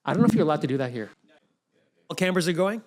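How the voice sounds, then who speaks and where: A man in his forties hosting a podcast, chatting with panel guests in a quick, easygoing and friendly way. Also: a little high, lively intonation, a little mellow. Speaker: podcast host. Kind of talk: casual panel chat.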